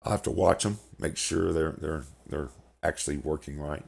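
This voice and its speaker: Gravelly low-voiced man commenting on gameplay. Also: steady tone, normal speed, a little mellow. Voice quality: crackly, gravelly voice. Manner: rambling, repeats words, swears when annoyed. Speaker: gaming video host. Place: home room, indoors.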